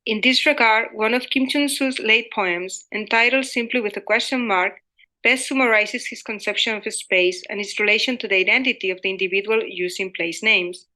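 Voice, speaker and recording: The bright, clear-cut voice of a woman researcher, a native Spanish speaker, formally reading her paper at a literature conference. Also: medium pitch, normal speed, low-quality recording. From Spain. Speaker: academic researcher presenting a paper. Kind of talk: scripted conference presentation read aloud.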